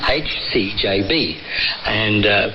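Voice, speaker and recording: Halting older Australian man through noisy shortwave static. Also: on the low side. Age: 60s. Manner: halting, hesitant delivery with frequent pauses. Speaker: interviewee, volunteer frequency coordinator. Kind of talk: unscripted radio interview. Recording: noisy muffled shortwave radio reception.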